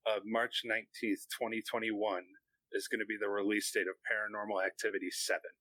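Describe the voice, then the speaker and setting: A man's voice: fairly low pitch, flat delivery, brisk, pushed and bright, rough recording. A man chatting casually as a podcast co-host. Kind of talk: casual chat.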